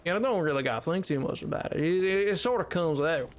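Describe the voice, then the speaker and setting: A man's voice: on the high side, lively intonation, fast talker. A man with a Southern-style American drawl, chatting casually and ramblingly with viewers as a livestreamer. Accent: Southern-style US drawl, put on. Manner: rambling, hesitant explanations. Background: music.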